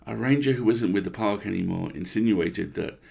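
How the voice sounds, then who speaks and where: Guy reading a creepy story, low husky voice, chatty but deliberate. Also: warm tone. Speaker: narrator reading a serialised horror story. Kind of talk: dramatic first-person story reading.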